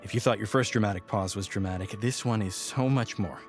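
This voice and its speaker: An actor playing a nervous, earnest office worker giving a speech in an audio drama, theatrical and self-coaching. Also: male voice, a little high, animated, moderate pace, soft background music, natural voice.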